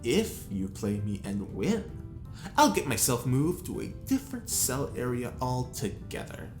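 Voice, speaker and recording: A man's voice: medium pitch, big pitch swings, measured pace, darkish tone, faint music behind. A man playing a pompous, sly prisoner with refined tastes in an audio drama, theatrical and arch. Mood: sly, playful. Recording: roomy echo.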